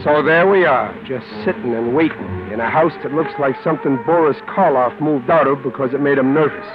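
A man's voice: medium pitch, natural voice. An actor playing a brash small-time tough guy in a 1940s radio drama, wisecracking in streetwise slang. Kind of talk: 1940s radio drama acting and first-person narration. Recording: dull vintage radio recording with music behind.

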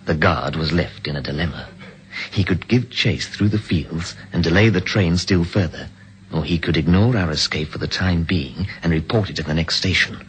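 An actor playing a resolute hero who also narrates, speaking in a clipped, commanding way in a 1950s radio drama. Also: male voice, low voice, moderate pace, natural voice, low-quality recording. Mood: narrating. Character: resolute male hero and first-person narrator.

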